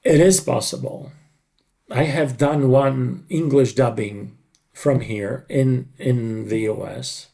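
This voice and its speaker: A middle-aged or older man speaking reflectively in an interview, in deliberate, halting, emphatic short phrases. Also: mid-range voice, steady tone, creaky, mellow. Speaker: dubbing creative director.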